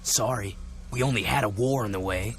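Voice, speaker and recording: A curt young hero, voiced by a man in a game. His voice sits a little low and stays dry and terse. Faint music is behind. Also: a little fast, natural voice.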